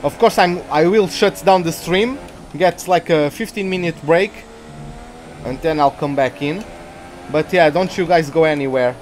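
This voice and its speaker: A man's voice: a little high, moderate pace, slight background noise. A man from Portugal with a Portuguese accent, chatting and rambling as he streams live commentary on a racing game. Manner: chatty, rambling, easily distracted. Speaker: gaming streamer.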